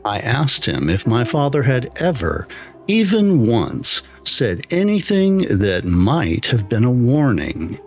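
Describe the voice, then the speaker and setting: Slow, expressive male horror narrator over music. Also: medium pitch. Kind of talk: scripted first-person horror story narration. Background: background music.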